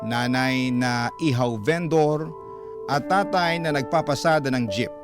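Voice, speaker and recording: A man working as a radio storyteller, reading a listener's letter in scripted, dramatic narration. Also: medium pitch. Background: loud background music.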